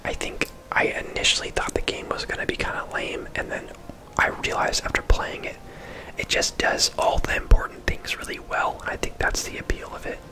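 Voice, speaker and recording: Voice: moderate pace, rough recording. A person whispering casual, chatty game commentary in an ASMR style, rambling off into asides, close to the microphone. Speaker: video game streamer and host.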